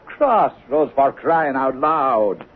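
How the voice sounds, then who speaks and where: A blunt yet kindly lunch-counter man in an old radio play. His colloquial talk is animated and puzzled, swinging in pitch. The sound is narrow, with faint noise. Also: drawn-out words. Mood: baffled, exasperated. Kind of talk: radio drama acting. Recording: tinny, noisy 1970s radio recording.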